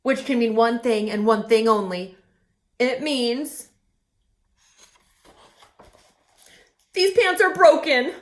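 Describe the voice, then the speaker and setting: A woman's voice: fairly high pitch, a little slow, lightly acted. A woman voicing an indignant male bear who accuses his friends in a huffy, dramatic way. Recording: roomy echo.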